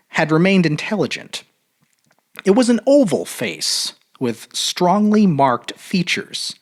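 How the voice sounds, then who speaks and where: A man narrating a literary short story aloud in an expressive, measured, dramatic audiobook style. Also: fairly high pitch. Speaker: storyteller reading fiction aloud.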